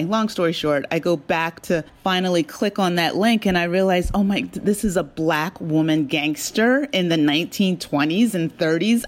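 Lower-pitched American woman in interview. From New Jersey, USA. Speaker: guest, audio drama writer and producer. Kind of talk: casual interview conversation.

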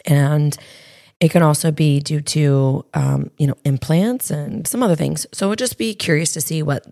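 A woman in her thirties with a raspy voice, chatting candidly as a podcast co-host and health coach, with plenty of fillers. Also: low-pitched, normal speed, mellow, no echo, clean studio sound. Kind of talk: casual podcast chat.

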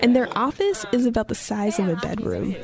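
A woman co-hosts a podcast, reading scripted narration in an even, conversational way. Faint music plays underneath her voice. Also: on the high side, fairly quick, creaky. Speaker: podcast co-host.

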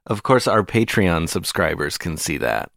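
A man's voice: sits low, sing-song, moderate pace, creaky, a bit bright, dry recording. A man co-hosting a podcast, trading dry jokes in casual banter. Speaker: podcast co-host.

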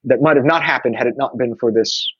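A man's voice: mid-range voice, quick pace, husky, dry recording, highs cut off. A man, talking thoughtfully in a casual conversation with many restarts. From New York. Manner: reflective, many restarts and fillers.

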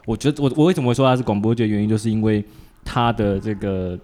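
A man's voice: on the low side, fairly even intonation, fast, darkish tone. A man with a Taiwanese Mandarin accent hosting a casual chat, joking and colloquial, with lots of little interjections. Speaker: podcast host interviewing a guest.

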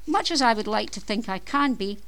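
A woman's voice: a little high, expressive, fairly quick, a bit bright. A middle-aged Scottish woman with a Scottish accent hosting her own podcast, reading a scripted introduction in an upbeat, chatty way with playful self-deprecating asides. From Scotland. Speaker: podcast host and author.

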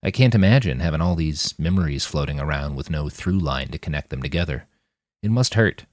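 A man's voice: rumbling low, lively intonation, brisk, a little mellow, no echo, clean studio sound. A man voicing a friendly, plain-spoken army mechanic in an audiobook, casual and frank. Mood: concerned.

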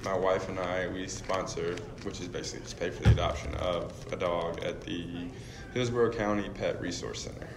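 A man's voice: low-pitched, fairly even intonation, normal speed, gravelly. A young man chatting casually as a guest, teasing his teammates and laughing at his own jokes. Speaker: football punter. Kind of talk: casual chat. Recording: echoey lounge with background noise.

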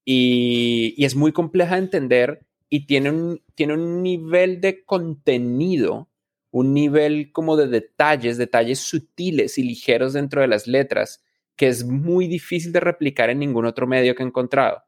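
A man as an interview guest. His voice sits at a middle pitch, and his talk is reflective and self-deprecating, with frequent restarts. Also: moderate pace. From Colombia.

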